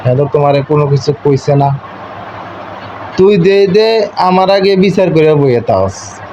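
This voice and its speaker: A man's voice: on the high side, animated, measured pace, dark tone. A young man speaking an eastern Bengali regional dialect, lecturing his wife in an informal domestic argument, insistent, repetitive and domineering. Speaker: husband on a call with his wife. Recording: muffled call recording with faint noise.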